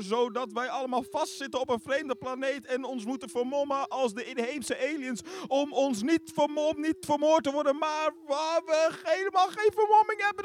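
A man playing a naive, eager starship cadet in a comic radio play, hesitant and rambling. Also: extremely high pitch. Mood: alarmed, guessing. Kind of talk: live comedic sci-fi radio play acting.

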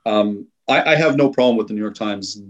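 A man from Iran talking as an interview guest, thoughtful and measured, often restarting and qualifying his words. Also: on the low side, highs cut off. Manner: thoughtful, with frequent restarts and hedges. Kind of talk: conversational interview talk.

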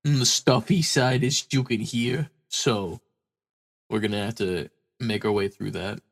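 A man's voice: lowish voice, steady tone, a little slow, gravelly. A man co-hosting a podcast in casual chat, stuffy-nosed from a cold, tired and rambling, swearing casually. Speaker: podcast co-host.

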